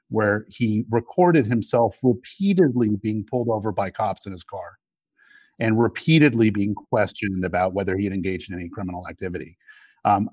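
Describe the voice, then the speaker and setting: A middle-aged man in a conversational political discussion, arguing in a halting, reflective way. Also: lowish voice, darkish tone, dry recording. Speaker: interview guest.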